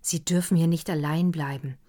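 Woman voicing earnest young man, quiet, urging, low. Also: fairly even intonation, normal speed, close to an everyday voice.

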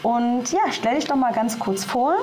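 A woman with a fairly high voice chats as a podcast host, interviewing casually and reacting keenly. There is clear ambient noise around her, as if recorded out in the open. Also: normal speed, darkish tone.

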